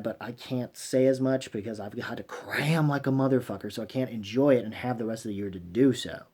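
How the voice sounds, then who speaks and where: A man giving casual, rambling vlog commentary, haltingly repeating himself and trailing off mid-thought in informal language. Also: mid-range voice, a little mellow. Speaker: vlogger hosting a fan channel. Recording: clearly noisy recording with clicks.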